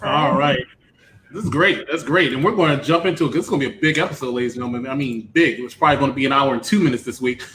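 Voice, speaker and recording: A man's voice: medium pitch, rapid-fire. A man hosting a casual review show in an announcer-like, teasing way. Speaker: podcast host. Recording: roomy echo with faint noise.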